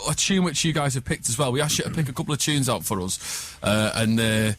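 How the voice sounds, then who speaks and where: A man's voice: medium pitch, lively intonation, fast talker, a bit bright. A man hosting radio, chatting informally and jokily with guests in a studio. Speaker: radio breakfast show host. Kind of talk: casual live radio chat with guests. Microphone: studio microphone.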